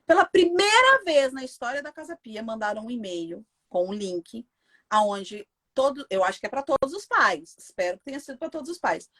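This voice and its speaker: This Brazilian woman talks with a Brazilian Portuguese accent, with a somewhat high voice, and tells her story with emphatic indignation. She speaks casually, as a guest in an interview. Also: big pitch swings, normal speed, fairly bright tone.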